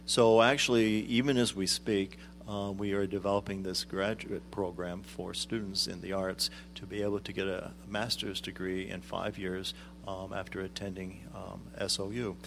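A middle-aged man, a guest on a radio interview, explaining things in a measured way with frequent ums. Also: low voice, flat delivery, gravelly, a bit bright, a little hiss or hum. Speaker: guest, arts executive director.

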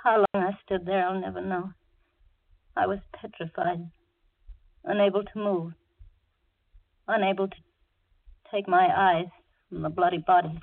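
A woman's voice: lowish voice, flat delivery, unhurried, vocal fry, long pauses. An actress playing a frightened young woman in a 1940s radio thriller, with a tense, melodramatic delivery that stammers under fear. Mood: ominous dread. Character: frightened young woman motorist, anxious, trapped, narrating her ordeal. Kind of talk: 1940s radio thriller acting, suspenseful first-person narration. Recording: noisy, tinny old 1940s radio recording.

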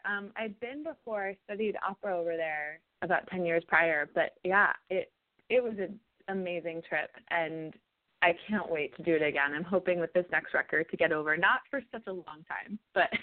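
A woman singer-songwriter from New York City talks through her answers in a radio interview, thoughtful and rambling. Her speaking voice sits slightly low for a woman. The sound is narrow and tinny, like a phone line. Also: fairly quick.

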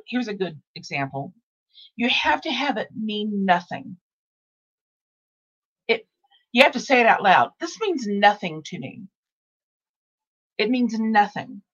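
A woman's voice: medium pitch, highs cut off. Woman in her 60s, rambling host. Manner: rambling, repeats herself, restarts often. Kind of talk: casual podcast chat.

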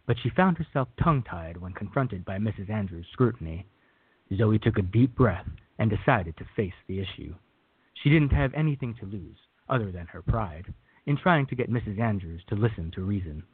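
Male narrator for radio theater, lowish and husky, scripted read, close and dry. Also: lively intonation, normal speed, no echo. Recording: tinny, phone-like narrow band.

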